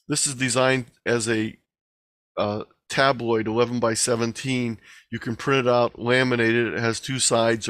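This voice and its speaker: A man's voice: medium pitch, a bit bright, no room sound. A man speaking as a guest presenter in an online meeting, explaining things conversationally and taking questions. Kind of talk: informal presentation and question-and-answer.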